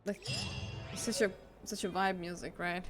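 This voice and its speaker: A woman's voice: lowish voice, steady tone, moderate pace. Chatty woman streamer over game music. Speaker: game livestreamer. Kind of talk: casual live reaction chat.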